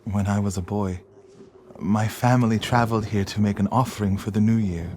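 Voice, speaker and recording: An actor playing an earnest, stubborn, devoted warrior monk in a dramatic video game, speaking gravely. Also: male voice, lowish voice, fairly even intonation, brisk, darkish tone, slight background noise, lightly acted. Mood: somber.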